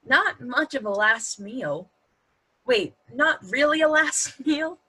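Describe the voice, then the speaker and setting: Actress doing a snarky young woman, high bright voice, lively swings, stage comedy. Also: takes it slowly, husky, exaggerated voice. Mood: flippant. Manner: sarcastic, quippy. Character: sarcastic wisecracking young woman, Reese. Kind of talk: table-read stage comedy acting.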